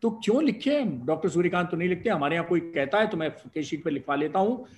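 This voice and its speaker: A man's voice: on the high side, animated, fast. A middle-aged man from India, a doctor and professor of respiratory medicine, explaining medical advice as a guest in a live discussion with emphatic, argumentative exclamations.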